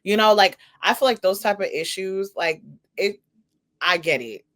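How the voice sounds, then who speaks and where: A woman's voice: medium pitch, lively intonation, moderate pace, a bit bright. Woman podcast co-host, slangy and emphatic. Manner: informal slangy phrasing, emphatic. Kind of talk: casual opinionated entertainment commentary.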